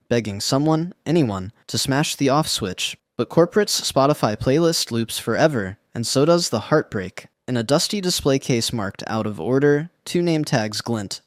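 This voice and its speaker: Guy narrating spooky internet lore, deadpan and slangy, super dry close mic. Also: mid-range voice, dry recording. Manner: slangy, wry deadpan delivery.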